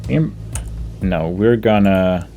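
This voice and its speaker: A man's voice: low-pitched, takes it slowly, lo-fi sound. A man giving casual game commentary, muttering his tactics with dry exasperation. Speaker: gaming video host. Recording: game music behind the voice.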